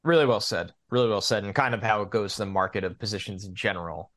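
A man's voice: fairly low pitch, fast talker, fairly bright tone. A man co-hosting a sports podcast, giving his opinions on football in a casual, conversational way.

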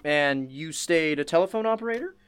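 An actress playing a curious, chatty interviewer in a radio play, with a casual, reactive way of asking questions. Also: female voice, deep voice, expressive, a little fast, clear, resonant tone, no room sound. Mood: inquisitive.

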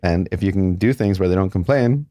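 A man's voice: low voice, fairly quick, warm tone, dry recording. A man, a plastic surgeon, speaking candidly in a casual interview, blunt with crude jokes.

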